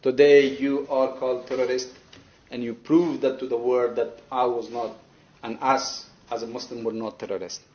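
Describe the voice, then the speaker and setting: A man from Afghanistan with an Afghan accent giving a courtroom statement, earnest and halting but firm and resolute. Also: medium pitch, flat delivery, a little mellow, highs cut off. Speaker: attack survivor giving a victim statement. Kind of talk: courtroom statement, partly spontaneous.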